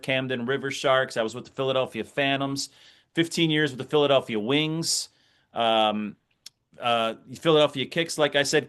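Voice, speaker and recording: A man's voice: mid-range voice, fairly bright tone, smooth. A middle-aged American man, a sports announcer, speaking conversationally as an interview guest and reflecting through anecdotes.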